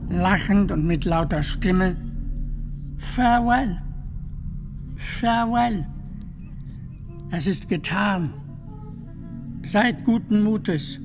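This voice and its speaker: An actor playing an earnest friar who testifies deliberately and formally in a radio play, with music underneath. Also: medium pitch. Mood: quoting emphatically.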